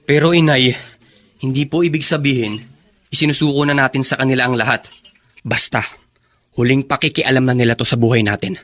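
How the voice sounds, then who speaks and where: A man's voice: mid-range voice, steady tone, brisk, pauses often, fairly bright tone, close to an everyday voice. A man playing a responsible, protective young eldest son in an earnest radio drama. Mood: indignant.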